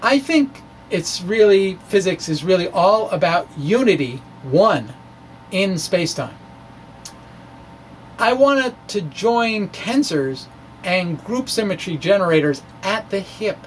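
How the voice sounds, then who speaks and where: A man giving a dramatic, halting reading of his physics blog, with self-deprecating off-script asides. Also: sits high, sing-song, slight background noise, poor audio. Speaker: physics blogger presenting his own talk.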